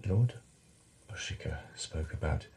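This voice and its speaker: An actor playing an anxious, deferential palace lieutenant in a radio drama, speaking formally and haltingly. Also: male voice, extremely low pitch, lively intonation, vocal fry, warm tone, a little hiss or hum, exaggerated voice. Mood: hesitant, preoccupied.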